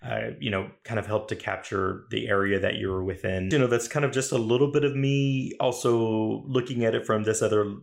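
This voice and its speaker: A man's voice: lowish voice, steady tone, brisk, vocal fry, hardly any pauses. A man hosting a podcast interview, talking in a friendly, hesitant way with many fillers and restarts. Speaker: podcast host. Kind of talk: casual interview chat.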